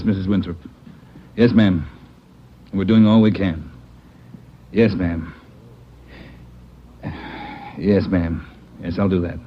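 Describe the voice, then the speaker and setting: A gruff American chief of detectives in an old radio police drama. He is curt and impatient, with a fairly low voice. The recording is dull with faint noise. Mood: curt, harried. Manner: curt, brusque.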